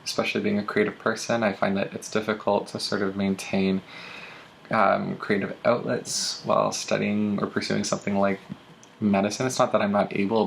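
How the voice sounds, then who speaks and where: A man's voice: on the low side, gravelly, slight background noise, rough recording. A young man talking casually about books in a vlog, with lots of ums and uhs. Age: young adult. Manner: chatty, many ums and uhs. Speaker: booktuber. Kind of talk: casual book tag vlog.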